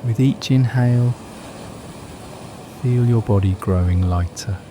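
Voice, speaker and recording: A man narrating a guided meditation in a soothing, unhurried, measured manner. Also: mid-range voice, noisy background.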